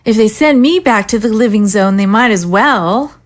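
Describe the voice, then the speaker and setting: A woman's voice: medium pitch, moderate pace, lightly acted. A woman playing a frightened young deserter in an audio drama, speaking in an earnest, pleading manner. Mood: earnest, downcast. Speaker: voice actor. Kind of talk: scripted audio drama acting.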